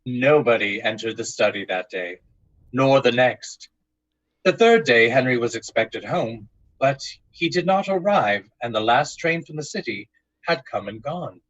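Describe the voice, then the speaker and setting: A man serves as narrator of a scripted old-time radio drama. His mid-pitched voice is husky and breathy, delivered dramatically in a dry recording. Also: lively intonation, unhurried, no room sound, lo-fi sound.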